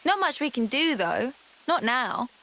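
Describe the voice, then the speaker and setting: A woman's voice: high-pitched, big pitch swings, unhurried, a bit bright, heavily acted. A woman playing a brash, chatty young fighter in a video game, informal and slangy. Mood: casual, resigned. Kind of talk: video game cutscene acting.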